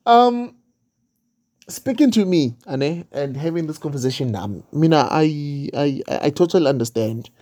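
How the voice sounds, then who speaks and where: A woman's voice: deep voice, expressive, normal speed, mellow. A woman hosting a casual interview, mixing Zulu and English, with frequent affirmations and fillers. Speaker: host.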